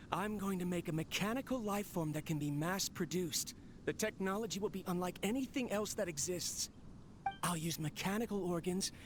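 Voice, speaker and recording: Man playing a young ambitious inventor, high animated voice, hissy tape recording. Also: natural voice. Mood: eager. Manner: eager, musing, talking to his machine. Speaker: expelled researcher on a tape. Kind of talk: video game voice acting.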